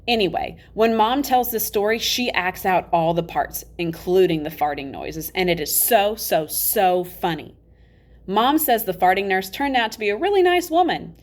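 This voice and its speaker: A woman reading a children's novel aloud in an animated, emphatic storybook style, acting out the dialogue. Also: medium pitch, normal speed. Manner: expressive, emphatic, acts out dialogue.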